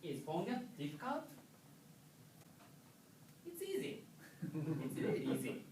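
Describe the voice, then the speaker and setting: A Japanese man with a Japanese accent walks an audience through a coding demo, his English halting and full of pauses and restarts. He speaks slowly, at an unhurried pace. His voice comes from a distance in an echoey lecture room with faint background noise. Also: fairly high pitch, huge pitch swings, darkish tone. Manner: halting, many filler pauses and restarts, simple phrasing. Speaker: conference presenter. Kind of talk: technical presentation.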